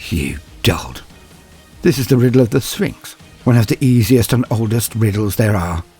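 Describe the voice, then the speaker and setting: A man voicing an arrogant, possessed assassin in an audio story, husky, sneering and deliberate in his menace. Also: lowish voice, animated, a little mellow, soft background music, heavily acted. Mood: smug, scornful.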